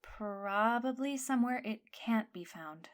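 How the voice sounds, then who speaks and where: A woman's voice: fairly high pitch, lively intonation, unhurried, a little hiss or hum. A woman playing a wry, understated teenage schoolgirl in an audio drama. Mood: deadpan. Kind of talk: scripted audio drama dialogue.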